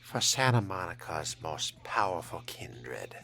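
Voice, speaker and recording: Man playing a slow, suave, sardonic vampire fledgling. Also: low-pitched, sing-song, gravelly, heavily acted. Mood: courteous, dry. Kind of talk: in-character roleplay narration of a video game. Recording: loud music behind the voice.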